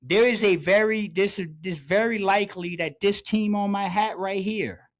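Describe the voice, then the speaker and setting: Male sports podcast host, forties, high voice, slangy and emphatic, leans on repetition. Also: normal speed. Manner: emphatic, repeats phrases for emphasis. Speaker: podcast host, basketball coach.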